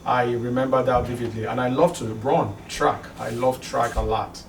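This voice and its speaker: A middle-aged man from Nigeria with a Nigerian accent, speaking haltingly in a documentary interview. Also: mid-range voice, steady tone, far from the mic, slight background noise. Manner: halting, many restarts and fillers. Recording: roomy, off-mic with music underneath.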